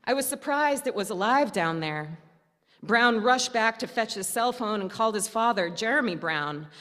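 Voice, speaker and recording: A woman with a medium-pitched voice delivers a storytelling sermon in a reverberant church hall. She reads aloud in measured, deliberate phrases, pausing often and adding touches of wry humor. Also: a bit bright. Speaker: church preacher. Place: indoor church service, speaking to a congregation.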